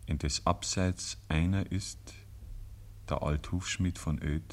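A man's voice: very deep voice, fairly even intonation, slow, heavily acted. A man with a Bavarian accent narrating a rural tale in a literary radio play. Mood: measured, grave. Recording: 1950s radio recording.

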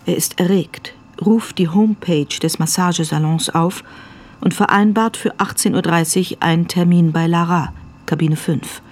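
A woman's voice: lowish voice, fairly even intonation, moderate pace, darkish tone, a little hiss or hum. A woman reading scripted narration for a radio drama as its narrator.

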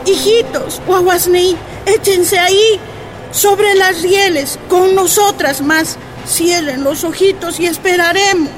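A woman's voice: very high-pitched, steady tone, takes it slowly, rough recording. A woman playing a defiant miner's wife in a radio drama. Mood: tender, resolute. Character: defiant Bolivian miner's wife, protesting fiercely.

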